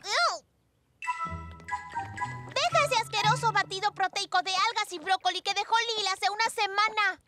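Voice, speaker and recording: A woman's voice: very high-pitched, normal speed, long pauses, pushed and bright. A woman playing an upbeat, determined young girl heroine in a dubbed children's cartoon, squeamish and theatrical in manner. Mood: dismayed. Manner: exclamatory, theatrical. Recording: music bed under the dialogue.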